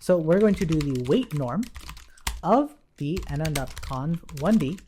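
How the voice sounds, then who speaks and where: A man's voice: a little high, lively intonation, a little slow, dark tone, slight background noise. Man casually talking through code as he types. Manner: informal, thinking aloud while typing. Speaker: programming tutorial instructor. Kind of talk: casual live coding walkthrough with explanations.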